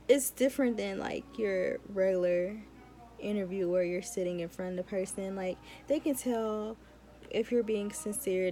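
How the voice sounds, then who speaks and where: A young woman talks in a casual, chatty vlog style at a middle pitch. She is informal and emphatic, repeating words for effect as she gives advice like a student talking to friends. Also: mellow. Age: young adult. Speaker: law student vlogger.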